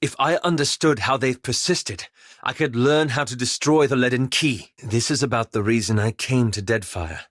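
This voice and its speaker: A man playing a polite, anxious, scholarly elven wizard in a video game, speaking with formal, measured, courteous diction. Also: medium pitch, a bit bright, no echo, clean studio sound, close to an everyday voice. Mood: earnest, uneasy. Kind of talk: video game character dialogue.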